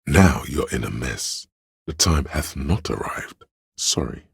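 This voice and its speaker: A man voicing a sly, flattering inner voice in a video game, with theatrical, courtly, old-fashioned diction and a gravelly, husky bass. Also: takes it slowly, no echo, studio quality, full character voice. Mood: knowing, measured. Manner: grandiose, archaic courtly diction, conspiratorial. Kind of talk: scripted video game voice acting, mock-Shakespearean.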